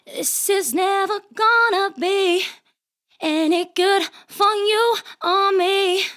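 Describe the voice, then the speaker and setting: Very high, slow-singing woman. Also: a bit bright. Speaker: singer. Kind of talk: sung vocal take, repeated pop phrase.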